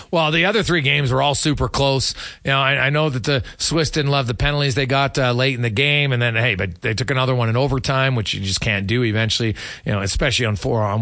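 A man's voice: mid-range voice, a bit bright, dry recording, clean studio sound. A man hosting a sports talk show, interviewing conversationally with opinionated, emphatic phrasing. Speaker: sports radio host.

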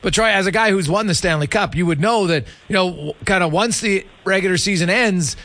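Bright, sharp-voiced male sports radio host. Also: high-pitched, brisk, dry recording. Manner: chatty, long rambling questions. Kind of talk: conversational sports interview.

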